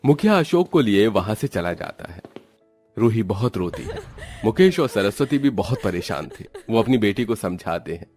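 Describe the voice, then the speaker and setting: A man's voice: fairly low pitch, very animated, moderate pace, a little mellow. A man narrating a scripted horror cartoon story as a storyteller, with music behind him.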